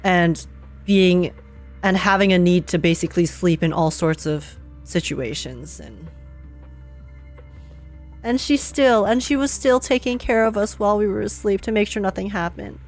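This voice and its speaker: A woman's voice: medium pitch, moderate pace, darkish tone. Female game streamer, mid-range voice, live reaction commentary, rambling, thinking aloud, background game music.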